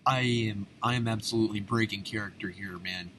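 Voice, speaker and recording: A low-voiced American man hosts a live reaction, talking off the cuff. He is excitable and exclamatory, full of casual slang. There is clear noise behind his voice. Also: a little fast. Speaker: reaction video host. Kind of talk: live unscripted reaction commentary.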